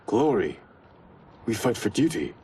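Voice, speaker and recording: A man's voice: lowish voice, very animated, unhurried, dark tone, a little hiss or hum. An actor playing an earnest, dutiful young male ninja in a video game, speaking in a principled, pleading way.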